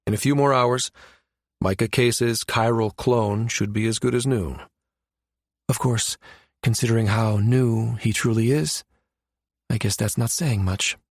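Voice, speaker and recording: A man's voice: medium pitch, fairly even intonation, measured pace, no room sound, clean studio sound. A man reading scripted narration from a science fiction novel as an audiobook narrator.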